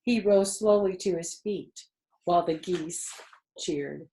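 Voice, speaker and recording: A woman's voice: lowish voice, slow, dark tone, slight background noise. A woman reading a children's story aloud expressively, with conversational asides to her listeners. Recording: roomy echo.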